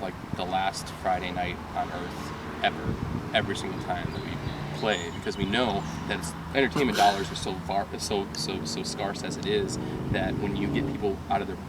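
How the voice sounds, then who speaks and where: A young man from Michigan with a lowish voice tells loose, rambling stories, laughing and restarting as he goes. He stands a little off from the mic, and loud street traffic roars around him outdoors. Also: recorded from a distance. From Michigan, USA. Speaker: rock band singer. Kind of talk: casual interview chat.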